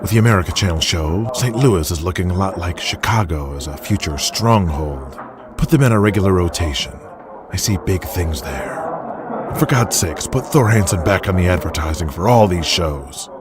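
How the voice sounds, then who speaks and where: An actor playing a hard-nosed, commanding wrestling promotion boss in an audio drama. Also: male voice, low voice, expressive, moderate pace, a crowd in the background. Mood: firm, businesslike. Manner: blunt, commanding.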